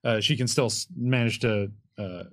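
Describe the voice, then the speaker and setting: A man's voice: mid-range voice, normal speed. A middle-aged man serves as game master at a tabletop game. He narrates and gives rulings in loose, conversational phrasing, often feeling his way through the wording. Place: indoor studio, seated at a game table.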